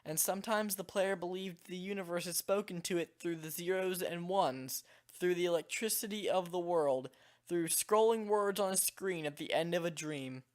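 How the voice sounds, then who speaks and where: A man with a slightly hoarse, worn-out voice, reading a game text aloud in a calm, measured way. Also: high-pitched. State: slightly hoarse, voice nearly gone. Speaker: video creator.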